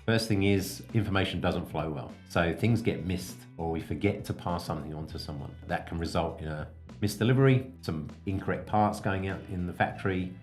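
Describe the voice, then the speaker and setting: A man's voice: low voice, brisk, a little mellow, soft background music. A man giving an informal explanatory coaching talk as a podcast host, explaining things step by step with examples in a conversational way.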